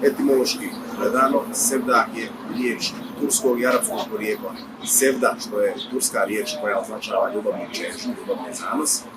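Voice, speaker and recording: A man's voice: lowish voice, fairly even intonation, fairly quick, hardly any pauses. A man from Bosnia and Herzegovina, an ethnomusicologist explaining a term in an expert interview. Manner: explanatory, lecturing. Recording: distant mic with loud noise.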